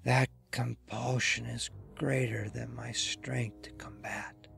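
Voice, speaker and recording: A man voicing a dreary, despairing dead man who speaks slowly and haltingly in a horror audio story. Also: medium pitch, level tone, creaky, fairly bright tone, husky, faint music behind, voice pushed up, exaggerated voice. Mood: dreary, hopeless. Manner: slow, halting, hopeless delivery. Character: dead man entranced, dreary, despairing, hearing terror approach.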